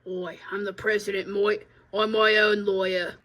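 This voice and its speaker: A woman's voice: mid-range voice, little pitch movement, normal speed, fairly bright tone, close to an everyday voice. A woman voicing a rude, bossy male lizard who acts as president, barking "oi" and "bloody" at everyone. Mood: grumpy. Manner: brash, keeps barking "oi" and "bloody".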